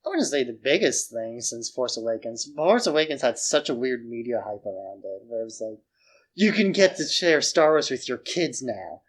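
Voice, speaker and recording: A man's voice: mid-range voice, big pitch swings, moderate pace. A man co-hosting a podcast, chatting informally and arguing his opinions with loose, colloquial phrasing. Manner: informal, frequent "like" while arguing points. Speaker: podcast co-host. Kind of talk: casual opinionated chat.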